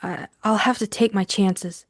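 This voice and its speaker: A woman's voice: medium pitch, steady tone, a little slow, dry recording, clean studio sound, lightly acted. A woman acting a frightened, secretive female client, timid and earnest, in an audiobook drama. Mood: meek, pleading.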